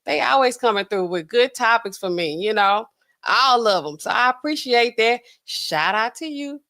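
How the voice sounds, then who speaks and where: A woman, hosting a casual livestream and chatting through viewer comments in a sassy, animated way. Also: a little high, normal speed. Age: 40s. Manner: chatty, sassy, animated swings between loud and hushed. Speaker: livestream host.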